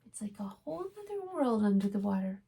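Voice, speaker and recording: A woman reading aloud to young children as a preschool storytime host, expressive and often putting questions to her listeners. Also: medium pitch, quick pace, vocal fry, slightly rough.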